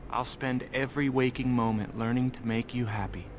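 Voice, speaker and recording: An actor playing an earnest, polite young male elf bridegroom in a fantasy video game, gentle and courteous. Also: medium pitch, fairly even intonation, brisk, background noise, natural voice. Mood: tender, nervous.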